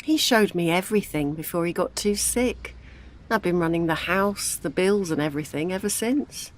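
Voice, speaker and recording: A woman's voice: fairly low pitch, moderate pace, close to an everyday voice. An actress with a British accent playing a grieving older widow and mother, wry and devoted, in an intimate audio drama. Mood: subdued, wry.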